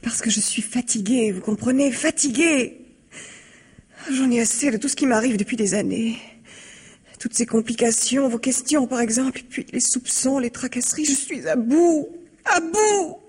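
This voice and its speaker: An actress playing a worn-out, bitter Broadway star in a radio mystery, speaking with weary fatalism. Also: female voice, a little high, moderate pace, darkish tone, breathy edge. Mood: exhausted, distraught. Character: exhausted, bitter drug-addicted Broadway starlet. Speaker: actress in a radio crime drama. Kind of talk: French radio mystery acting.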